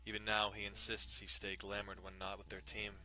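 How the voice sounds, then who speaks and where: A man's voice: on the low side, completely flat delivery, fairly quick, bright, forceful tone. A man giving a dramatic reading of a visual novel as its narrator. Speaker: dramatic reader.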